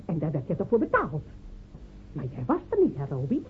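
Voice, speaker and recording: A fierce village woman in a radio drama, needling and sharp-tongued. Her pitch leaps around as she sneers. The recording is thin, tinny and faintly noisy. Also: wildly swooping pitch, a little fast. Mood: taunting accusation. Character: fierce, scornful village woman defending her dead man. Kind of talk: radio play acting.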